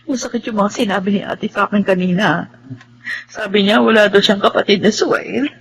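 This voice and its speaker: An actress playing a gentle, hurt younger sister with a respectful, pleading manner in a radio melodrama. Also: female voice, medium pitch, a little fast, a little hiss or hum, natural voice, rough recording. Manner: soft-hearted, pleading, respectful. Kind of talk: Tagalog radio melodrama acting.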